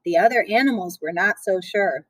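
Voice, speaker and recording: A woman reading a picture book aloud for children in a playful, expressive way, with chatty asides. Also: medium pitch, brisk, no echo.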